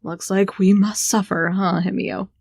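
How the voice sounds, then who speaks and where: A woman's voice: medium pitch, little pitch movement, unhurried, vocal fry, a little mellow, husky, dry recording, clean studio sound. A woman playing a sarcastic, brash young female ruler in an audio drama, slangy and irreverent. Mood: sarcastic, irritated. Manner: flippant, slangy, sardonic. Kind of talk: audio drama acting with first-person narration.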